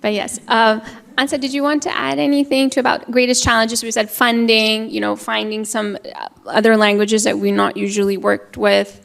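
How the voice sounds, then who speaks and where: A woman's voice: a little high, level tone, normal speed, creaky, hardly any pauses, a bit bright. A woman moderating a live panel discussion, chatty and encouraging, laughing easily as she puts questions to the panel.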